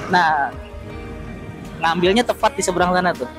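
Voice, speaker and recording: A man chatting casually as a motorcycle taxi driver, explaining things with halting self-repeats over a helmet intercom while riding. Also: high voice, steady tone, over music. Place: outdoors, riding a motorcycle through city streets. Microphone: helmet intercom headset.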